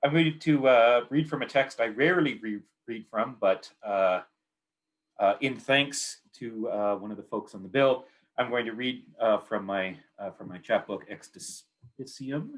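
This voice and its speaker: A man's voice: medium pitch, unhurried. A man with a Canadian accent giving a poetry reading of his own work in short, clipped, repeating phrases.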